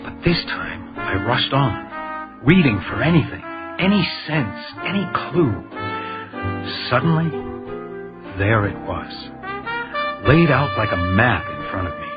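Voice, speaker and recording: An actor playing an earnest, level-headed police detective in a radio drama, dogged and probing. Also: male voice, medium pitch, very animated, slow pace, pauses often, over music. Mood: reflective narrating. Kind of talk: radio drama acting with narration. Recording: dull, noisy radio drama recording.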